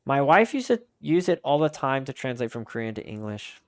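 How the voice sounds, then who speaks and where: A man's voice: mid-range voice, sing-song, a little fast, dry recording, clean studio sound. A man streaming a game and chatting casually with his viewers, answering their messages aloud in a rambling way with frequent restarts.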